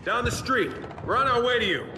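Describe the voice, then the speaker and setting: A man's voice: very high voice, expressive, brisk, noisy background. A man playing a brash, decisive young squad leader in a military action game, terse and commanding. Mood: focused. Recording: loud game music and gunfire behind.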